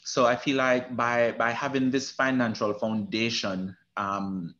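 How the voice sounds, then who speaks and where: A man's voice: medium pitch, little pitch movement, measured pace, a bit bright, highs cut off. A man from Jamaica with a Jamaican accent, a guest talking casually in an interview, often restarting his sentences. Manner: hesitant, with many restarts and fillers. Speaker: guest, retirement specialist.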